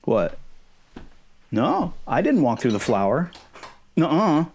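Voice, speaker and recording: A man's voice: on the high side, wildly swooping pitch, slow, gravelly, darkish tone, slight background noise, full character voice. A man acting a playful, goofy, affectionate shrunken boyfriend in an ASMR roleplay, casual and jokey with theatrical exclamations. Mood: playful, wary.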